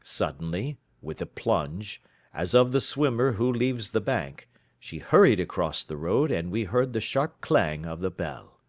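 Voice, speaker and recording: A man reading an audiobook as a measured, storytelling narrator. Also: fairly low pitch, big pitch swings, dry recording, studio quality. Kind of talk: scripted narration.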